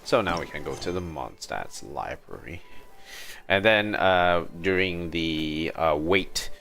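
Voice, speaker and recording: A man's voice: sits low, lively intonation, slow pace, creaky, a bit bright, faint music behind. A man livestreaming a game with casual commentary, musing aloud and humming to himself. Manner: hums to himself, muses aloud.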